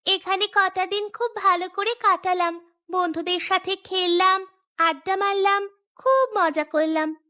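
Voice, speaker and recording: A sweet cartoon schoolgirl, acted out by a performer, with a very high, bright voice. She speaks in a cheerful, childlike and earnest way. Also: fairly even intonation, unhurried, heavily acted. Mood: sincere. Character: cheerful, sweet young schoolgirl visiting a village. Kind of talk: animated cartoon dialogue.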